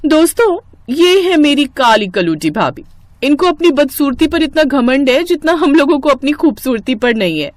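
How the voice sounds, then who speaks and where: A woman's voice: high voice, sing-song, normal speed, no room sound, pitched above the performer's own voice. A woman voicing a vain, spiteful young sister-in-law with a mocking, boastful manner in a cartoon story. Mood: haughty, mocking.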